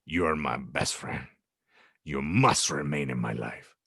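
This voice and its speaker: A man voicing a charismatic, sinister Spanish insurance salesman who claims to be a mystic killer, in slow, solemn boasts. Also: rumbling low, vocal fry, sharp tone, breathy edge, no echo, clean studio sound, voice pushed down, heavily acted. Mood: possessive pleading.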